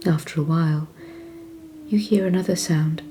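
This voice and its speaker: A woman narrating a scripted guided meditation in a calm, measured, soothing way, with phrases that often trail off softly and breathily. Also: deep voice, slight background noise.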